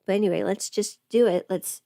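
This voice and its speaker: A woman's voice: medium pitch, a little fast, vocal fry, mellow, dry recording. A woman casually chatting on a livestream while doing her makeup, playful and absent-minded, muttering to herself in a close-miked voice. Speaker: livestream host, voice performer.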